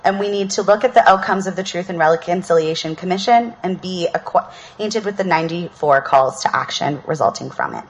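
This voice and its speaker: A woman hosting an outdoor panel, reading an opening statement in a measured, earnest way, then giving casual announcements. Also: on the low side, dull audio. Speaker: event host and panel moderator. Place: outdoor public event.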